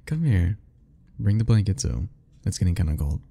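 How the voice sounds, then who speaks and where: A man's voice: deep voice, big pitch swings, normal speed, creaky, dark tone. A young man speaking a playful, teasing ASMR roleplay straight to the listener, laughing often between lines.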